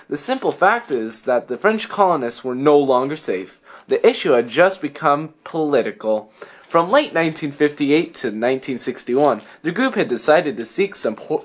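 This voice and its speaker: A young man reading a scripted history narration for a student project. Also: fairly high pitch. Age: young adult.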